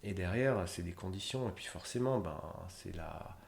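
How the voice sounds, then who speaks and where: A man's voice: low voice, animated, moderate pace, gravelly. A man in his thirties, a humanitarian logistician, answering interview questions in a rambling way with many fillers and restarts. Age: 30s. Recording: roomy echo.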